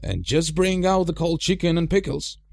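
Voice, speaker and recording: A man's voice: on the high side, normal speed, vocal fry, no room sound, studio quality, voice pushed up. A man voicing a genial old wizard in a storybook, courteous but commanding in manner. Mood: bossy.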